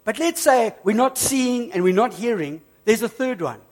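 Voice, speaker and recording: A man preaching a sermon, emphatic and repetitive, slowing down on key phrases, a bit hoarse from flu. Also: very high-pitched, expressive. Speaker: pastor.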